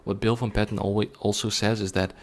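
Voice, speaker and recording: A man with a fairly low voice presents an explanatory monologue on video. He speaks earnestly and didactically, addressing viewers directly. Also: little pitch movement, fast. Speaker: language learning video presenter.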